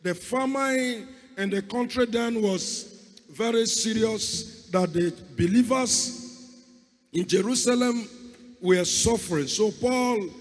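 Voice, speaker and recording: A male preacher who has lost his voice, hoarse and fervent, opening with a prayer and going on to a sermon. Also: sits high, takes it slowly, in a large hall. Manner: fervent, repeats calls to God. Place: church hall.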